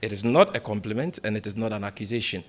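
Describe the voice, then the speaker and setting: A man with a Ghanaian accent speaking as an opposition party spokesperson at a press conference, in a formal, deliberate way with emphatic repetition. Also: lowish voice. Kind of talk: press conference remarks, answering questions.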